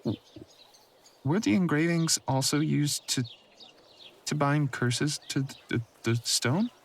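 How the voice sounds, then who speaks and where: A man's voice: a little high, slow, slight background noise, voice pushed up. A man voicing a timid, nervous young male student who stammers apologetically in an audio drama. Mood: hesitant.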